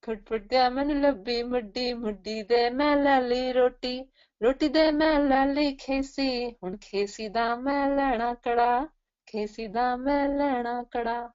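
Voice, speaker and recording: Cheeky male folk-tale mouse female performer, Punjabi accent, high, sing-song bargaining, slightly lo-fi. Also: big pitch swings, normal speed, darkish tone. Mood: cheeky demanding. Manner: sing-song rhyming chant.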